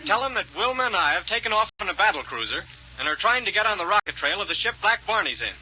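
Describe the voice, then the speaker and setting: An actor playing a decisive heroic rocket captain in a 1930s radio serial, brisk, businesslike and urgent. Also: male voice, sits high, sing-song, pushed and bright, slightly rough, heavily acted. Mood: businesslike, concerned. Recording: dull, hissy 1930s radio recording.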